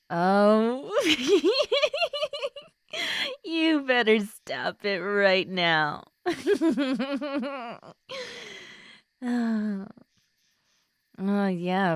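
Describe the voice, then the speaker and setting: A woman plays a popular schoolgirl who is tipsy and openly smitten in a roleplay audio drama. Her voice is on the low side and softly murmured, with slow, drawn-out, affectionate phrasing. The recording is dry and close, as if she is talking only to the listener. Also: vocal fry, no room sound, full character voice. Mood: giddy, flattered. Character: tipsy, giddy, lovestruck popular schoolgirl, usually stoic.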